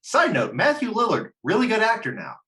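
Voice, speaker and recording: Casual male host with lively, swinging pitch. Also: a little fast, slight background noise. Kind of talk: casual chat.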